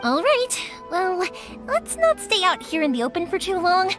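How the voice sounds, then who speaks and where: A bright, high and very lively young fireworks maker. She is upbeat, chatty and caring, and game music plays behind her. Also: normal speed. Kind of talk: video game voice acting. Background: game background music.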